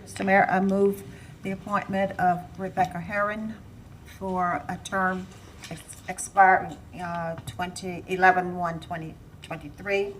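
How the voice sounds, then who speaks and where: A woman commissioner speaks up with short formal motions, her voice a little low. She reads names and dates deliberately, stumbling slightly, against a faint hum of the chamber. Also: flat delivery, poor audio. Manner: brief, halting, deliberate reading of dates. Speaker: city commissioner making motions. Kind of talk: procedural motions in a meeting. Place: council chamber.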